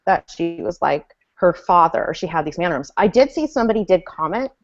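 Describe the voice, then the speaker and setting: A woman with a somewhat low voice rambles through a casual chat over a video call. The sound is dull and lo-fi, typical of web call audio. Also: expressive, a little fast.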